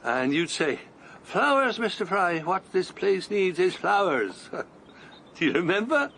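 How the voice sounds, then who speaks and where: A man's voice: high-pitched, big pitch swings, slow, vocal fry, fairly bright tone, slight background noise, heavily acted. A man playing a breathless, wondering older walker. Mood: wistful. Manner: breathless, wondering, chuckling to himself. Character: Harold Fry, older retired man on a long walk. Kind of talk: radio drama acting.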